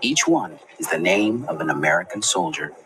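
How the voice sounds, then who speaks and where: A man giving a measured, reflective scripted narration in the manner of a documentary for a children's programme. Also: deep voice, expressive. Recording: clearly noisy soundtrack with ambient sound.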